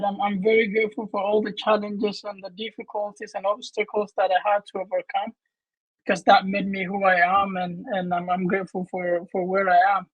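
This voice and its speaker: A man, answering as a guest on a video call in an earnest, halting way. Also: sits high, fairly bright tone, no echo, rough recording. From Ethiopia. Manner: earnest, with frequent restarts and fillers. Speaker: guest, medical student. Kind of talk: casual interview answers.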